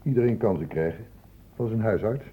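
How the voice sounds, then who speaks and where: Stern male doctor for radio drama: low-pitched, lively intonation, testy and authoritative. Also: a little fast, slightly rough. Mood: lecturing, firm. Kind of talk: Dutch radio drama acting. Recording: muffled, narrow-band old radio recording, noisy.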